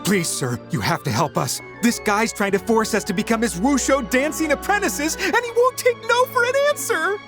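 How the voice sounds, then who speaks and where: A frightened young townsperson in a video game. The voice is high and swings widely as it pleads, with faint music underneath. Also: female voice, sing-song, normal speed, few pauses. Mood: relieved, distressed.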